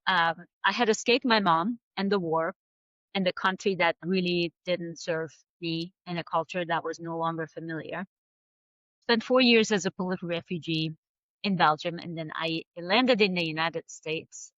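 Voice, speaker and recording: A woman's voice: fairly low pitch, fairly bright tone, no echo, dull audio. A woman in her forties, a native Farsi speaker, earnestly recounting her past as a podcast guest, with many fillers and restarts. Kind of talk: personal storytelling in a podcast interview.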